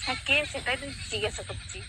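Hurt young woman, talking fast by phone. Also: on the high side. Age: young adult. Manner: hurt, accusing. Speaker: girlfriend on a phone call. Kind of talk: emotional personal phone argument. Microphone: phone call played aloud. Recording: phone call with music behind. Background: music.

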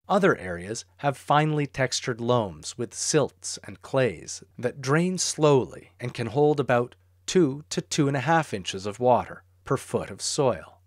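A man narrates a lesson on soil and irrigation in a steady, explanatory way. His voice sits at a middle pitch. The recording is dry and close, with no room sound. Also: no echo. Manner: clear, steady explanatory delivery. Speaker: narrator of an instructional farm video. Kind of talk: scripted educational narration.

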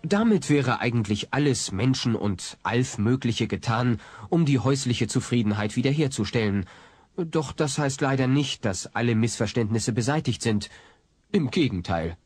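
A man playing a wry narrator in an audio drama, giving measured scripted narration. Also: mid-range voice, expressive, darkish tone, breathy edge, dry recording, faint music behind.

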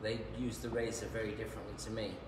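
A man in his fifties giving a live haircut lesson in a big salon, chatty and joking as he works, his voice a little croaky. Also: fairly low pitch, level tone, quick pace. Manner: chatty, joking, teaching as he cuts. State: slightly croaky voice. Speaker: hairdresser and educator. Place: large bright hair salon, indoors. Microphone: phone camera held nearby. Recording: echoey salon room with noise.

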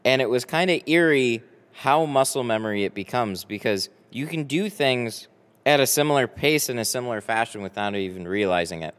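An American man in his early thirties chatting casually on a livestream, halting and easygoing as he thinks aloud. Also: mid-range voice, fairly bright tone, clear, resonant tone. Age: early 30s. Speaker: livestream host, window tinter. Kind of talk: casual livestream chat, answering viewers. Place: large garage workshop with a boat. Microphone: close microphone on boom arm. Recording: long hall-like reverb.

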